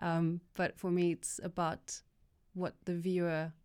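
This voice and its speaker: Slow, smooth-voiced woman. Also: lowish voice, level tone, a little mellow, no echo, studio quality. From South Africa. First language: Afrikaans. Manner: halting, many fillers and restarts. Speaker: visiting artist, interview guest. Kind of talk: casual interview answers in English.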